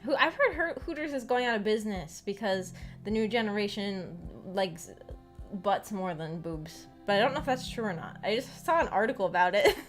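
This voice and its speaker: An American woman streamer chatting casually in a rambling, halting, giggly way. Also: medium pitch, animated. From United States. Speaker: streamer, visual novel book club host. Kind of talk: casual livestream chat. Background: background music.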